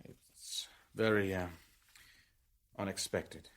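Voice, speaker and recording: A wary businessman in a radio drama. His voice is fairly low and controlled, and he answers tersely with stubborn restraint. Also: fairly even intonation, slow pace. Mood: reluctant, subdued. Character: guarded, stubborn businessman held under questioning.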